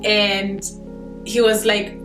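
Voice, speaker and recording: A woman's voice: mid-range voice, level tone, very slow. A woman from Kenya in her thirties with a Kenyan accent, chatting to camera in a casual, filler-heavy way, laughing easily. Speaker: vlogger. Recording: echoey room with faint guitar music.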